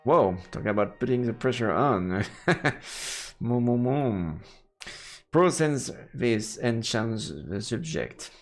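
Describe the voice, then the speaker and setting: A man's voice: medium pitch, lively intonation, slow pace, soft background music. A man live-streaming a game, reading its narration aloud and adding murmured, playful asides. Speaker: let's play streamer.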